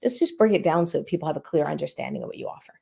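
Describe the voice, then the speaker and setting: A woman's voice: deep voice, animated, racing, vocal fry, mellow, breathy edge. A woman hosting a podcast interview, chatting casually with many fillers. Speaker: podcast host.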